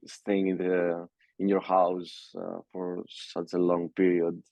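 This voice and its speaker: A young man from Greece with a Greek accent, speaking English as a second language in a casual interview and talking haltingly with many fillers and restarts. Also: low-pitched, completely flat delivery, gravelly, dark tone, dry recording. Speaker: music producer, guest.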